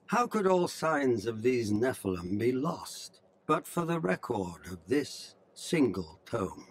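A man's voice: medium pitch, no room sound. An actor playing a proud, driven conqueror king in a video game, solemnly and theatrically reading out his journal. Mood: awed, wondering. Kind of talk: dramatic in-character journal narration. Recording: clearly noisy ambient game background. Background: game ambience.

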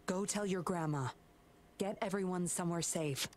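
An actress voicing a sly, teasing young puppeteer woman in a game, low and smooth. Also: normal speed, a little mellow, close to an everyday voice. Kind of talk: game voice acting.

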